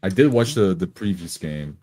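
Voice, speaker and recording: A man's voice: sits low, expressive, moderate pace, mellow. A man talking casually as a player before a livestreamed tabletop game.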